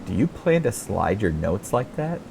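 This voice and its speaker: A man voicing a stern, pious male church worship leader in an audiobook, with a measured, sanctimonious, reprimanding delivery. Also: fairly low pitch, sing-song, creaky, background noise. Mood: pious reproach. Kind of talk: audiobook character dialogue.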